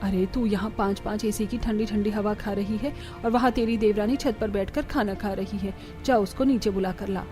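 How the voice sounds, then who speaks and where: A woman's voice: mid-range voice, fairly even intonation, normal speed, few pauses, music in the background, natural voice. A woman playing an older, bossy mother-in-law in a cartoon family drama, with an admonishing manner. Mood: scolding.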